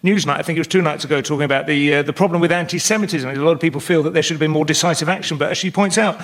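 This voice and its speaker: Male comedy panellist, high-pitched voice, deadpan satirical banter, conversational. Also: racing.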